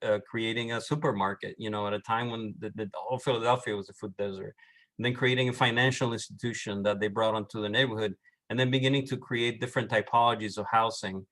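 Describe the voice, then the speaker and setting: A middle-aged man with a Puerto Rican accent, an architect presenting on a panel, halting with many restarts and fillers. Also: lowish voice, steady tone, a bit bright, low-quality recording. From Puerto Rico.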